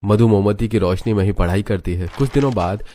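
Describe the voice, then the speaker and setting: A man's voice: fairly low pitch, fairly quick, mellow, slight background noise. A man narrating a scripted story as its narrator.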